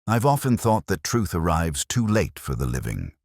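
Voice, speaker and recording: Male narrator, very low pitch, expressive intonation, brooding literary detective storytelling, measured delivery, dry close sound. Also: no room sound. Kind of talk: scripted first-person detective narration.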